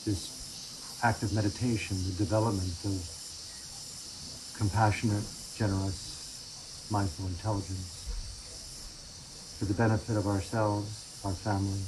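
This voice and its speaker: A man's voice: fairly low pitch, level tone, a little slow, long pauses, roomy sound, background noise. A man speaking as a podcast host and meditation teacher.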